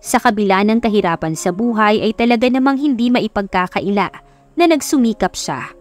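A woman's voice: mid-range voice, expressive, fairly quick. A woman reading a scripted love story as an audiobook narrator. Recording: music under the voice.

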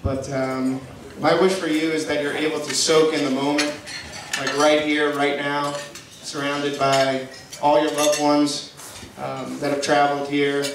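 A young man giving an informal, joking best man's wedding speech in a halting, affectionate, teasing way over a PA in a tent. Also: fairly high pitch, level tone. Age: young adult. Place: large wedding reception tent at night. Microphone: handheld PA mic, picked up by distant camera. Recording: distant echoey PA sound, noisy guest-filled tent. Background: noisy wedding guests.

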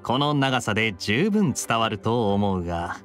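A man's voice: on the low side, very animated, a little slow, fairly bright tone, soft background music. A man voicing a suave young knight captain in an anime game, teasing and nonchalant. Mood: amused, teasing. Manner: smooth-talking, nonchalant, wry.

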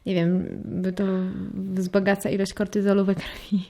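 Female podcast co-host, casual and conversational, giggly, self-deprecating storyteller with a mid-pitched voice. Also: monotone, unhurried, warm tone. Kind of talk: casual chat.

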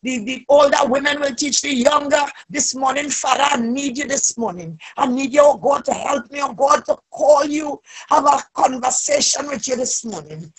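A woman leading a fervent, extemporaneous church prayer, pleading with repeated invocations and a raspy voice that swells into loud cries. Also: sits high, moderate pace, few pauses, poor audio.

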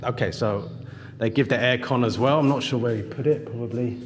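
A man's voice: medium pitch, fairly quick. A man giving a casual walk-through of a house for sale, thinking aloud and trying out broken Thai phrases. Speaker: vlogger touring a house for sale. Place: inside an empty house with hard floors. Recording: echoey bare rooms.

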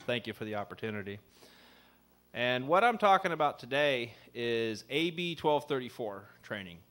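A man in his thirties, an assistant city attorney, giving a conversational ethics training in a city meeting room at a desk microphone, with many fillers. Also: mid-range voice. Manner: says he has a loud voice. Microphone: desk microphone on a stand.

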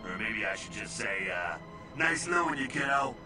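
An actor playing a brash, irreverent older American vampire mentor in a video game, folksy and slangy with mocking asides. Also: male voice, on the low side, big pitch swings, normal speed, recorded from a distance, over music. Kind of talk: video game character dialogue.

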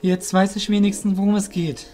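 A man plays a nervous young student in a German audio play. His voice sits high, agitated and questioning, as if the world makes no sense to him. Music plays underneath. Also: little pitch movement, fairly quick, mellow, close to an everyday voice. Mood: bewildered. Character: Sekki, anxious, bewildered young male student.

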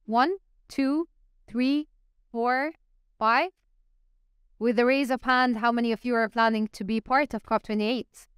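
A young woman moderating a live panel on stage with a handheld mic, engaging the audience with quick polls. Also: on the high side, steady tone, a bit bright, clear, resonant tone, dry recording, studio quality. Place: stage in a large event hall.

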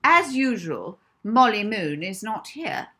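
A woman's voice: mid-range voice, very animated, takes it slowly, pushed and bright, voice pushed up, full character voice. A woman voicing a strict, spiteful schoolmistress in a clipped, scolding manner for a storybook. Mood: stern.

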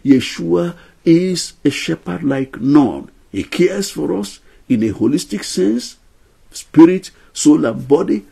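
An older man gives an earnest Bible lesson straight to camera from a small room at home. His voice is husky and gravelly, with a warm, mellow tone, and his pitch swings widely as he stresses each numbered point. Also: lively intonation, slow pace. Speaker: Bible teacher.

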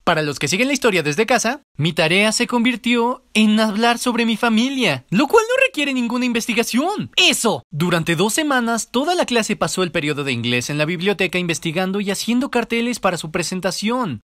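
Male narrator for cartoon storytime, high voice, very animated swings, comedic and self-deprecating. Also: brisk, few pauses, no room sound, clean studio sound.

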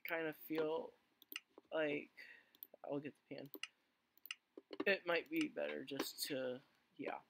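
Hoarse guy rambling through a game, gravelly fry, lots of ums, faint hiss and mouse clicks behind. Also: fairly high pitch, fairly even intonation, takes it slowly, pauses often, fairly bright tone. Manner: rambling with many fillers and restarts. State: hoarse, losing his voice. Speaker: gaming channel host. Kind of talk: casual let's play commentary.

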